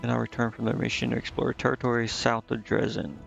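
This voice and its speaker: A man with a noticeably creaky, fry-laden voice at a middling pitch streams a game in a casual way. He mutters hesitantly as he thinks aloud and reads text off the screen. The sound is a little dull and lo-fi, with music faintly behind him. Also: little pitch movement, fast.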